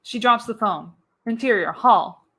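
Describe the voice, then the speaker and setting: A woman's voice: fairly high pitch, unhurried, fairly bright tone, breathy edge. A woman reading scripted action as the narrator of a live radio play, with dramatic storytelling emphasis.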